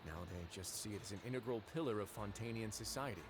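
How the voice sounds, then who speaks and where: Low-voiced, composed duke played by a man. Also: fast talker, background noise, natural voice. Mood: solemn. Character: calm, wry male duke ruling an underwater prison fortress. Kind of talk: scripted video game dialogue and storytelling.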